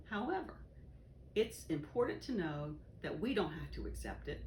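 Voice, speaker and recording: A woman reading a children's educational book aloud in a deliberate, instructive way. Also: on the low side, a little mellow. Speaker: volunteer story reader. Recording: roomy echo, faint background noise.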